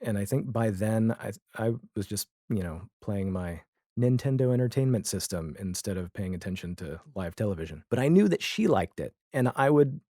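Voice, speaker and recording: Low-pitched American man, dry close sound. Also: animated, normal speed, mellow, no room sound. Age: 40s. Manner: chatty, acts out imagined dialogue. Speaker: podcast guest. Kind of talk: casual anecdotal interview chat.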